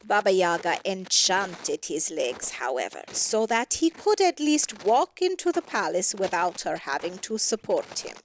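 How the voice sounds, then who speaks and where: A woman narrating a scripted fairy tale with expressive, deliberate emphasis. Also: medium pitch, a little hiss or hum, poor audio. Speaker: story narrator.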